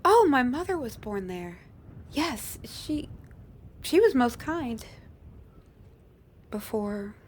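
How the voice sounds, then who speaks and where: A woman voicing a shy, humble young peddler flower girl in a fantasy audio roleplay, speaking softly and politely with old-fashioned phrasing. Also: a little high, big pitch swings, slow pace, pauses often, a little hiss or hum. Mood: gentle, shy.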